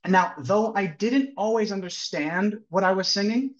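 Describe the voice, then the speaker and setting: A man's voice: sits high, moderate pace, echoey room. A young man from New Jersey giving a friendly, lightly joking slide presentation over a video call. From New Jersey, USA. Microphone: webcam on a video call. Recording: distant, dull-toned video call audio.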